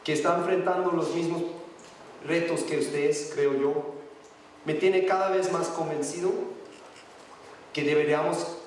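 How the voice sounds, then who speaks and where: A man's voice: a little high, steady tone, distant mic, a little hiss or hum. Hesitant man in an echoey hall. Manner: hesitant, halting non-native Spanish. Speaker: guest speaker. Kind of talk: conference talk, partly read from notes. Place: large conference hall.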